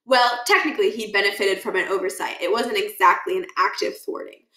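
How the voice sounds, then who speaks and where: A woman reading a children's book aloud as an expressive storyteller, with animated, deliberate emphasis. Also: mid-range voice. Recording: echoey, roomy sound.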